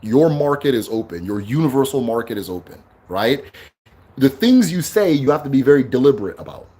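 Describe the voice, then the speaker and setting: Loud-voiced man lecturing on livestream. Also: medium pitch, very animated, a little fast, breathy edge. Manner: loud, emphatic, keeps asking "right?". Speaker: livestream host teaching spirituality.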